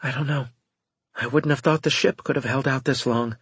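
A man voicing a cool-headed woman officer in an audiobook, measured and deadpan as she reports on a space battle. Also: medium pitch, slightly rough, dry recording, pitched above the performer's own voice. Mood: deadpan, resigned. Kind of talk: audiobook character dialogue.

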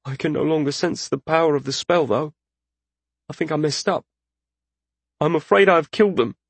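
Man voicing an earnest, troubled young mage, pitched high. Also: moderate pace, creaky, breathy edge, no echo. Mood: distraught. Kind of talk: fantasy audiobook character voice.

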